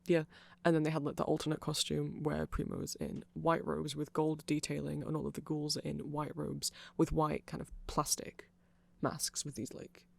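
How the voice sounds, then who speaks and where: Casting: ASMR voice, androgynous mid pitch, gravelly fry, very dry sound, casual and digressive nerd. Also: monotone, normal speed, hardly any pauses, dry recording. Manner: nerdy, self-deprecating, digressive. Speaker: ASMR creator explaining band lore. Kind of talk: casual rambling ASMR explainer.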